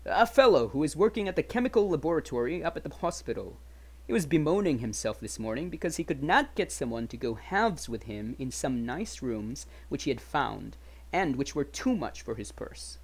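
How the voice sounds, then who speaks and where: A man voicing a frank, chatty young gentleman who is wary about his friend, with emphatic, politely old-fashioned phrasing in a dramatic storybook reading. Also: fairly high pitch, very animated, moderate pace, slightly rough, heavily acted. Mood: chatty, candid.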